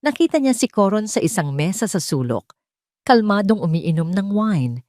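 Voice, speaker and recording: A woman's voice: medium pitch, sing-song, normal speed, darkish tone, no echo, studio quality. A woman narrating a scripted dramatic story as a storyteller.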